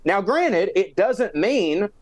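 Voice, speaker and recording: Higher-voiced Southern man, casual and dry-sounding. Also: big pitch swings, takes it slowly, no room sound. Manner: profane, rambling, self-deprecating. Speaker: podcast co-host. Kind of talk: casual chat, personal anecdotes.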